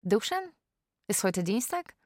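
A woman playing a carer who narrates a daily routine in a radio drama, measured and matter-of-fact. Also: medium pitch, very animated, very noisy.

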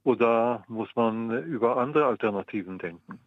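An older man from the Mandate of Palestine, speaking as a historian in an interview, measured and pausing often with ums. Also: medium pitch, dry recording. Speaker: guest historian. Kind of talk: interview answers. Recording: tinny phone line, dry.

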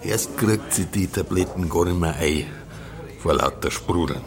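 An actor playing a drunken, brooding village pub philosopher with a Bavarian accent and a ponderous, gruff manner. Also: male voice, sits low, a little slow, background noise. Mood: gloomy musing. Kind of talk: audio drama acting in dialect.

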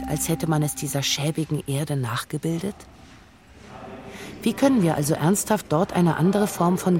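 A narrator reading scripted prose for a radio drama in a measured, reflective way. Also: mid-range voice, a little mellow. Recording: music clearly under the voice.

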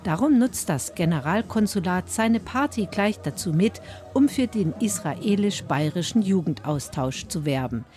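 Low-voiced female radio reporter. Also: expressive, moderate pace, hardly any pauses, warm tone, faint music behind.

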